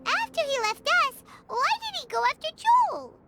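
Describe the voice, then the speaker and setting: Squeaky little fairy girl. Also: very animated, moderate pace, very noisy, full character voice. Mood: puzzled. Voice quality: squeaky, childlike. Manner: talks of self in third person. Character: small chirpy girlish fairy companion, eager and loyal. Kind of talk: animated video game voice acting.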